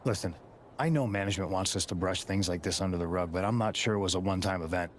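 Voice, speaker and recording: A wary, concerned wrestler colleague, acted by a man, speaks low and quickly. He delivers game cut-scene dialogue like a friendly warning. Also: close to an everyday voice.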